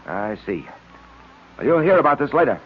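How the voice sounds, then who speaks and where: Shrewd, firm male detective, husky and clipped, 1940s radio style, narrow-band sound. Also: mid-range voice, very animated, moderate pace, soft background music. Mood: brisk, irritated. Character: brisk, authoritative, shrewd male police detective. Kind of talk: 1940s radio drama acting. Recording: tinny, band-limited old radio recording.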